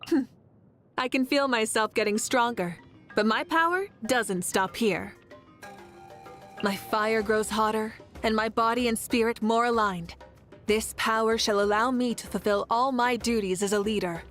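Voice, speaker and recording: Game casting: confident young female fire archon, warm and assured, slightly high voice, music underneath. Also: unhurried. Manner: poised, earnest, assured. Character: Mavuika, confident warm warrior archon of fire, woman leader. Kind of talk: video game character voice lines.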